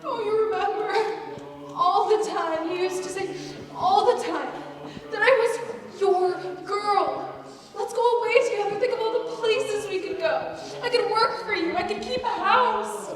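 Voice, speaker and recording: Tearful young bride pleading, played by a woman, high voice, far off in a reverberant hall. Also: measured pace. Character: frightened young bride pleading with her father. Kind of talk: stage play acting.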